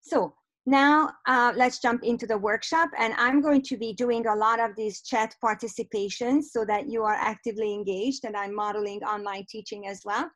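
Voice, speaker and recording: A woman's voice: fairly high pitch, steady tone, moderate pace, no room sound. Hungarian-accented woman leading a webinar. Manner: warm, encouraging, reads out chat replies. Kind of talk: online teacher workshop presentation. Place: home office on a video call. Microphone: computer mic on a video call.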